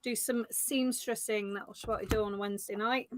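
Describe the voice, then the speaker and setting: A woman's voice: medium pitch, steady tone, normal speed, a little hiss or hum. A woman co-hosting a live psychic reading stream, chatting casually with viewers, nursing a sore throat. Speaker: co-host, psychic reader.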